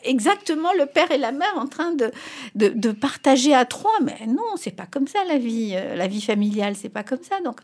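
A middle-aged woman whose first language is Spanish, answering as an expert guest in an interview. She speaks emphatically, and her pitch jumps up on key points. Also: lively intonation, moderate pace.

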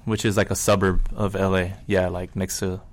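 Easygoing low-voiced man from Colombia. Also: flat delivery, normal speed. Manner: easygoing, uses lots of fillers. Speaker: band member. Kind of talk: casual interview chat.

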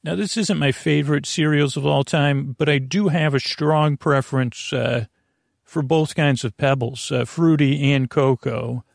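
Male, gravelly creaky voice, medium pitch, meandering sleep podcast host, friendly nonsense, halting restarts, close dry sound. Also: fairly even intonation, dry recording. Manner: goofy, friendly banter. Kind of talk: rambling, nonsensical bedtime monologue.

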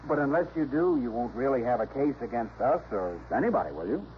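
A man's voice: mid-range voice, sing-song, a little fast, close to an everyday voice. An actor playing a cocky, defensive young man in a radio drama, glib and argumentative. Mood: defiant. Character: cocky, defensive young rich nephew under suspicion. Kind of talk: 1960s radio drama acting. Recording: muffled old radio recording, faint noise.

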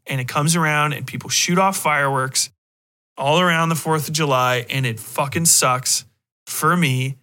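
Male podcast host, higher-pitched and bright, opinionated, sweary, emphatic casual delivery. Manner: profane, emphatic, blunt.

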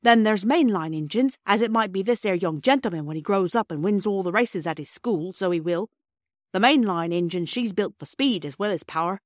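Cheerful old porter with broad rustic dialect, loud and lively, played by a woman. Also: mid-range voice, brisk, no room sound, clean studio sound, close to an everyday voice. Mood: explaining. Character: cheerful working-class old railway porter.

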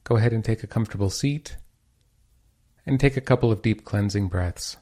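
A man leading a guided meditation with calm, measured instructions and pauses between phrases. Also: on the low side.

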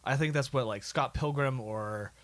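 A man speaks in a voice of middling pitch. He sounds like a podcast co-host in relaxed, casual conversation, offering his opinions as he goes. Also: normal speed.

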